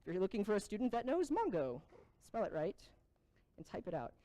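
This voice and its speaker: Casual woman host, mellow voice. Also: mid-range voice, sing-song, a little fast. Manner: casual, joking, plain. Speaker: event host. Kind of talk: explaining a website, introducing speakers.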